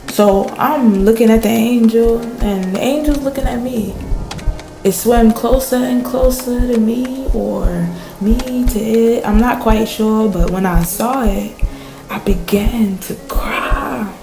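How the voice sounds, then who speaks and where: Slow, echoey woman acting a shaken fisher storyteller. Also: on the high side, gravelly. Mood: reflective, awed. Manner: halting, dramatic storytelling with pauses and repetition. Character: shaken spiritual fisher recounting a near-death angel encounter. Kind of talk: radio play monologue. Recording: roomy echo with music underneath.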